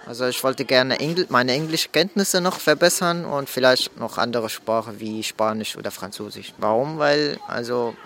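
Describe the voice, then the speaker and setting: A young man from Palestine answering interview questions casually, pausing and correcting himself. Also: medium pitch, fairly bright tone, a little hiss or hum.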